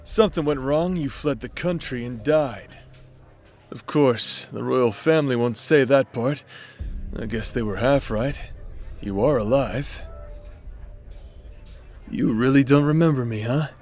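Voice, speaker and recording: A confident warrior nobleman, acted by a man in a fantasy audio drama, speaking at a middle pitch. He is casual and teasing, brash yet protective, with faint music underneath. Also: measured pace, pauses often, a little mellow, natural voice. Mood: wry, confiding. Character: brash, protective adult nobleman warrior captain, easygoing but menacing. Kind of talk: fantasy audio roleplay acting.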